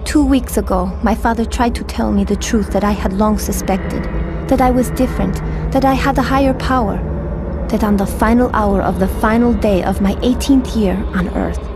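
An actress voicing a solemn young woman with a dark destiny in a video game cutscene, speaking calmly and eerily. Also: medium pitch, moderate pace, close to an everyday voice. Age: young adult. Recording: game audio with music.

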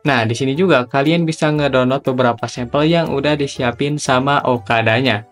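A man's voice: mid-range voice, a little fast. Young male tutorial host, chatty and informal, mid-range voice over background music. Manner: chatty, slangy, step-by-step instructing.

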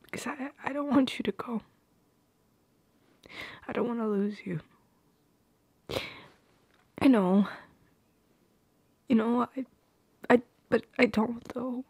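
Female performer as shy, tender young woman in love, ASMR roleplay. Breathy, whispery, hesitant, with plenty of vocal fry and slow delivery. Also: on the high side, a little mellow, dry recording, exaggerated voice. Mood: nervous, tender.

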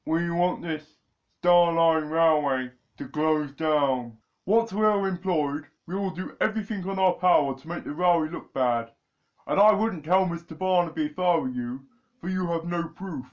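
A man voicing a smug villain who quietly threatens two young men. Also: on the high side, moderate pace, muffled recording, close to an everyday voice.

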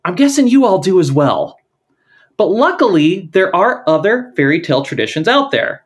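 A male podcast host with a lively, animated voice that rises and falls freely. He opens with a prepared intro, then shifts into relaxed conversation with his guest. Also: high-pitched, moderate pace, husky. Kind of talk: scripted intro then casual interview.

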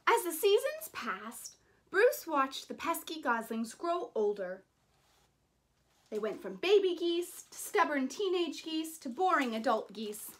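A woman in her thirties reading a children's picture book aloud in an animated, deliberate way with dramatic emphasis. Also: high voice. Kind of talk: expressive children's story reading.

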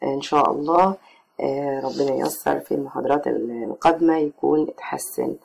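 Egyptian-accented lecturer, strained tired voice, very gravelly, halting delivery with many fillers, mid pitch. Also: darkish tone. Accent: Egyptian Arabic. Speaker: course lecturer. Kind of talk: closing remarks of a recorded lecture. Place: voice-over, not recorded in the pictured classroom.

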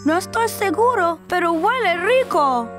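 Una mujer que da voz a un niño curioso y entusiasta de cuatro años en dibujos animados, con habla sencilla e infantil. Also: female voice, very high voice, animated, measured pace. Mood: curious. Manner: simple, childlike phrasing. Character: curious, eager four-year-old boy. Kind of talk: children's cartoon dubbing. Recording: music behind the voice.

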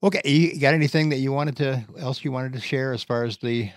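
A man hosting a radio talk show, relaxed and joking in a casual interview with a caller. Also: medium pitch, fairly quick, no echo, studio quality. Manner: relaxed, joking, laughs easily. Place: radio studio. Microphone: studio microphone.

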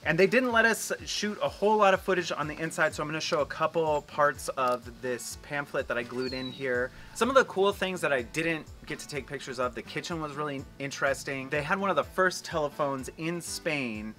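A man's voice: a little high, a little fast, few pauses, bright, forceful tone, faint music behind. A man in his forties hosting a casual family travel vlog outdoors, chatty and rambling, joking and exaggerating. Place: outdoors on a patio.

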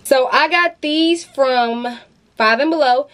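A woman's voice: high-pitched, slow, fairly bright tone. A young woman hosting a casual haul vlog, bubbly and slangy, talking straight to her viewers from a walk-in closet. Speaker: beauty vlogger host. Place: walk-in closet with shoe shelves, indoors. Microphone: camera in front at close range.

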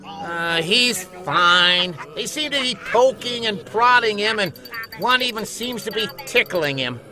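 A scheming, self-important news reporter played by a man in a spoof radio drama. His voice is extremely high for a man and swoops about, with music clearly behind it. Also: animated, unhurried. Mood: nervous cheer. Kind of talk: comedy radio play, on-the-spot report.

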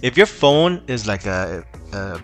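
A man's voice: on the low side, very animated, a little slow, faint music behind. Casual man talking through a tech fix. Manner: loose, rambling, many fillers and restarts. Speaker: tech tutorial host. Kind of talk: casual screen-recorded tutorial.

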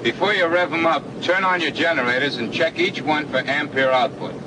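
Man acting a brisk flight instructor, engine drone. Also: fairly high pitch, background noise, natural voice. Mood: instructive. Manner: brisk, commanding, practical. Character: experienced male flight instructor, brisk and practical. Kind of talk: 1940s training film acting. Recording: old film soundtrack, loud cockpit engine drone.

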